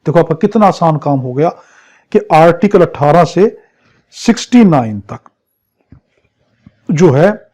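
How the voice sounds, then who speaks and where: A man's voice: a little high, lively intonation, a little slow, pauses often, darkish tone. A man with a Pakistani accent lecturing as a law teacher in an exam crash course, drilling points by repetition and rhetorical questions. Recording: dull, lo-fi muffled audio.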